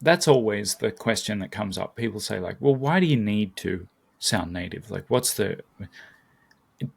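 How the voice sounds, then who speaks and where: Man, low voice, gravelly, thoughtful, casual guest chat. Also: animated, moderate pace. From not American. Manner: thoughtful, searches for words aloud. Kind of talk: casual conversation.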